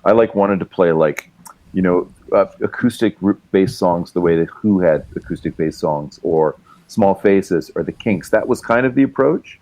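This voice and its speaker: A middle-aged Canadian man, a musician and publisher, chatting in a relaxed way with plenty of fillers. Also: low voice, moderate pace. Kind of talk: casual interview chat.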